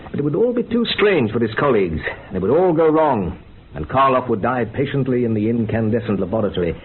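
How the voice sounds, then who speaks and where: An actor playing an earnest, wry scientist in a radio play, speaking in a measured, theatrical way. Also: male voice, mid-range voice, natural voice. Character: earnest, wry scientist devoted to old horror films. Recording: muffled, band-limited, faintly noisy radio recording.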